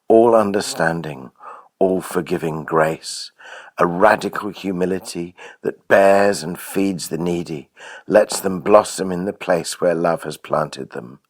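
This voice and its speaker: A man with a low voice reads solemn verse at a very slow, deliberate pace. The sound is dry and close, with no room around it. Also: no room sound. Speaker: poetry reader. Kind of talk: solemn poetry reading.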